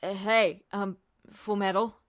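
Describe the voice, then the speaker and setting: A woman voicing an uneasy military man in an audiobook, halting and forcing himself to sound calm and reassuring. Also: medium pitch, no echo, studio quality, voice pushed down, close to an everyday voice. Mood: worried, soothing.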